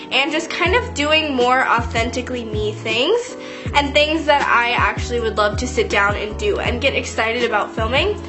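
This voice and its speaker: A young woman with a congested, stuffy voice from a cold, chatting casually to her channel, full of "like" fillers and earnest asides. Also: on the high side. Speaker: YouTuber. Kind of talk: casual storytime vlog.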